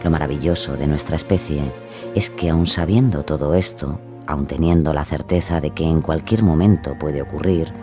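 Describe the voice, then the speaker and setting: A man reading a scripted documentary introduction in a solemn, measured way. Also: extremely low pitch. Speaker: podcast narrator. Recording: music bed behind the voice.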